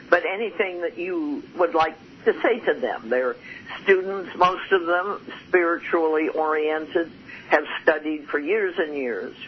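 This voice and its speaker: A man hosting an interview on a radio show, speaking hesitantly with many fillers and restarts. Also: a little high. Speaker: host. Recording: muffled lo-fi line, faint hiss.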